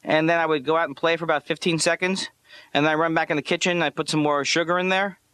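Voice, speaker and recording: A man playing a neurotic, awkward comic who is eager to please, delivering halting, earnest comic dialogue and monologue. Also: fairly high pitch, steady tone, fairly bright tone, clear, resonant tone, dry recording, close to an everyday voice. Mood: reminiscing.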